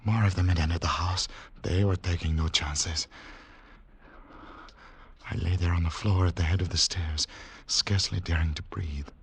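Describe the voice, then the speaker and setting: An actor playing a haunted secret agent on the run, narrating in dramatic, deliberate, clipped phrases in 1940s radio thriller style. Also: male voice, sits low, fairly even intonation, slightly rough, exaggerated voice. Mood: hushed suspense. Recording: noisy 1940s radio recording with clicks.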